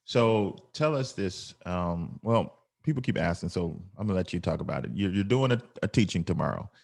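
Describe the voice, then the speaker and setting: A man co-hosting a casual interview in a relaxed way, bringing in the guest's class. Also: low-pitched, normal speed, creaky. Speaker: co-host.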